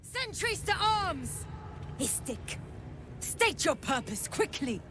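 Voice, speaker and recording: A woman's voice: very high voice, very animated, slow pace, over music, heavily acted. An actress voicing a suspicious githyanki sentry woman who speaks in clipped, hostile demands.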